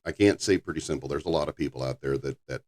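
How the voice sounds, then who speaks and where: Very deep-voiced older man chatting. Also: a little mellow. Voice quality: very low voice. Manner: rambling, halting with restarts. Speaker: podcast host. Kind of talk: casual chat.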